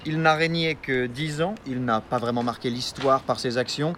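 A man's voice: mid-range voice, steady tone, normal speed, fairly bright tone, a little hiss or hum, natural voice. An actor playing an earnest, polite and knowledgeable young male archaeology assistant in an audio drama. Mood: explanatory.

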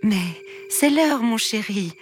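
A woman voicing a gentle, weary queen who coaxes her child to bed, in a slow, soothing way. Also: on the high side, animated, faint music behind, pitched above the performer's own voice. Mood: coaxing.

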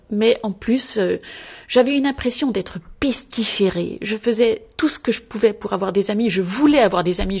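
A woman from Belgium answering interview questions as an author, articulate and measured with a wry, self-ironic touch. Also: mid-range voice, gravelly, a little mellow, husky, highs cut off.

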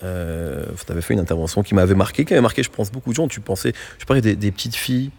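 Male radio host, low gravelly husky voice, loose conversational questioning. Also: fast talker, darkish tone. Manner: conversational, rambling questions with fillers. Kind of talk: radio interview.

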